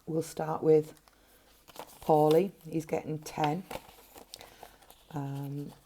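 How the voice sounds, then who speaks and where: Gruff low-voiced woman chatting to camera. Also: flat delivery, extremely slow, warm tone, a little hiss or hum. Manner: chatty, counting notes under her breath. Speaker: budgeting vlogger. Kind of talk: casual cash-stuffing vlog narration. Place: home, at a table. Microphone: overhead camera framing hands.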